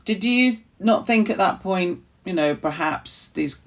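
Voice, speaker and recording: A woman's voice: medium pitch, expressive, measured pace, far from the mic. A woman hosting a podcast, a maritime archaeologist asking questions with keen enthusiasm. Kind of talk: podcast interview.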